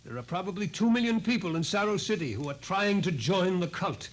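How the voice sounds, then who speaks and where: A man playing a composed, coolly analytical scientist in charge, with measured explanatory delivery in 1950s radio drama style. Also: sits high, expressive. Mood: calm, explanatory. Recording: noisy vintage 1950s radio recording.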